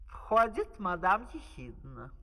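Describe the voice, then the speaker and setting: A man's voice: sits high, big pitch swings, slow, in a large hall, very noisy. A man narrating a fairy-tale opera from a script on an old vinyl record.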